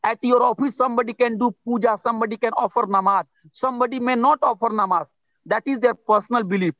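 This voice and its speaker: A man's voice: extremely high pitch, fast, a bit bright, no echo. A man in his forties from India with an Indian English accent, speaking earnestly as a guest on a video-call interview and often repeating himself for emphasis. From India (Bihar). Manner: earnest, repetitive, emphatic with restarts. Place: outdoors under trees.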